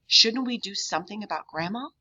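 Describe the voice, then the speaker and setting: A woman's voice: mid-range voice, animated, fairly quick, bright, forceful tone, breathy edge, voice pushed up, heavily acted. A woman voicing a practical, plain-spoken young child in a storybook, on a dull, lo-fi recording. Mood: concerned. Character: practical young cousin of the boy detective. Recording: dull, lo-fi sound.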